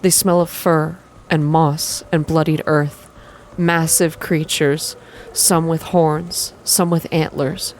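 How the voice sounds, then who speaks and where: A woman reading a visual novel game aloud in an intimate ASMR style, soft and expressive as she hosts her playthrough. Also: sits low, slow pace, slight background noise. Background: game music.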